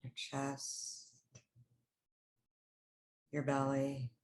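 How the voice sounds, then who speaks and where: A woman with a low, level voice guides a meditation. She speaks in soothing, short phrases and leaves space between them. Her intonation stays very even throughout. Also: slight background noise. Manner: slow, pausing, soothing instructional delivery in short phrases.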